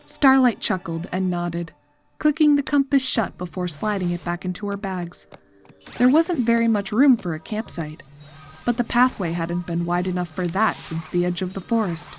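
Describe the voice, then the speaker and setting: A woman's voice: fairly low pitch, moderate pace, soft background music. A woman narrating scripted horror fiction as a storyteller.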